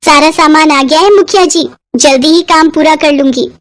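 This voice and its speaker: A woman's voice: sits high, normal speed, dry recording, studio quality, lightly acted. A woman voicing a poor village schoolgirl in a moral story, polite, earnest and eager. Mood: earnest, respectful.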